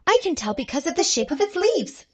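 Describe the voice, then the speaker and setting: Lady doing eager know-it puppy schoolgirl, storybook cartoon, high swoopy voice. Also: big pitch swings, fairly quick, darkish tone, no room sound. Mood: confident.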